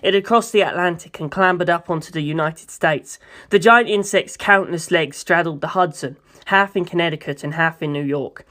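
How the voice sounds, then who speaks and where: Steady narrator reading a novel aloud. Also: medium pitch, moderate pace. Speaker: amateur audiobook reader. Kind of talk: scripted narration of a novel, first person.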